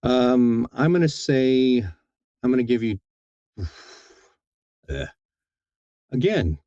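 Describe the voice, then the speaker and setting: A man's voice: mid-range voice, sing-song, drawn-out words, mellow, no echo, lo-fi sound. A man talking casually as an interviewed audiobook narrator, rambling and correcting himself with enthusiasm.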